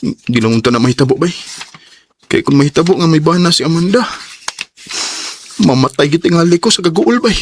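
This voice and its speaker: A man's voice: on the high side, expressive, brisk, pauses often, noisy background, close to an everyday voice. A man playing an eager, sincere young suitor in a Cebuano radio drama, with a Cebuano accent. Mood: worried.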